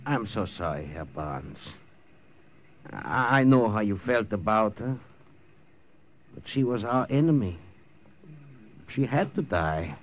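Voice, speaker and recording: An actor playing an earnest, deferential German man with a German accent, halting and humble, in 1940s radio style. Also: on the low side, very animated. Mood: apologetic. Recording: muffled, noisy old radio recording.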